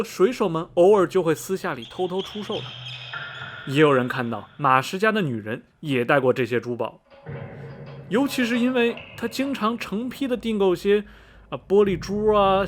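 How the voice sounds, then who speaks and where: A man acting a gossipy small-town local in a radio play. His voice sits fairly high and swoops around vividly as he spins superstitious rumours, peppered with folksy interjections. There is a little hiss on the recording. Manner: folksy, animated storytelling full of interjections. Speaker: actor in an amateur student radio drama.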